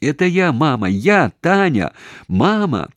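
A male reader plays a distraught young woman in an audiobook, crying out in short, frantic bursts. The voice is pushed high and loud, swooping with urgency, and recorded close and dry. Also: very animated, slow pace, dry recording. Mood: desperate calling. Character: distraught young woman shouting to her mother by telephone. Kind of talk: audiobook character voice.

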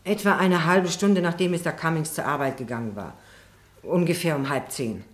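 An actress playing a defensive, prim neighbour on the witness stand in a radio drama, guarded, indignant and reluctant. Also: female voice, sits low, moderate pace, lightly acted. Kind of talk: German radio courtroom drama acting.

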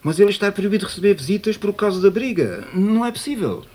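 An actor playing an informal Portuguese prison guard in a naturalistic radio drama. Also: male voice, high voice, sing-song, very fast, warm tone. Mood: brisk, matter-of-fact. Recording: faintly noisy cassette copy of radio play.

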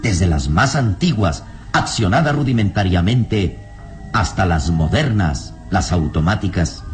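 A man's voice: low-pitched, animated, a little slow, soft background music. A man narrating an old-time Spanish-language radio serial in a solemn, suspenseful, scripted style.

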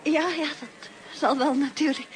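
A woman's voice: high voice, steady tone, unhurried, a little hiss or hum. An actress in a Dutch radio play as a reserved, uneasy mother, speaking hesitantly and politely in short replies. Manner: hesitant, terse, politely reticent. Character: reserved, uneasy married mother of two daughters.

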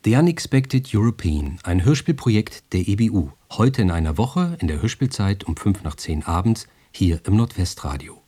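Male radio host, native German, scripted studio announcements, soft dark timbre, highly melodic delivery. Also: big pitch swings, moderate pace, hardly any pauses, slightly rough.

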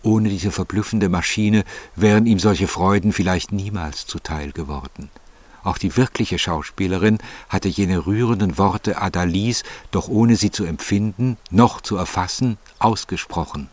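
A man with a deep voice narrates a literary story. The sound is dry and close, and the delivery is scripted narration. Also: unhurried, a little mellow, no echo.

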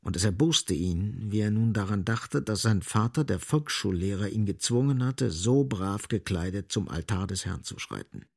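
Biting literary narrator, gravelly voice, highly varied intonation, grave irony, radio drama. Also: male voice, lively intonation, moderate pace, hardly any pauses, darkish tone, heavily acted. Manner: emphatic, ironic.

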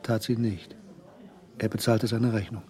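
An actor narrating a radio play, voicing a schemer's private thoughts in a dry, sardonic manner. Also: male voice, fairly low pitch, flat delivery, measured pace, dark tone, close to an everyday voice. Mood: calculating.

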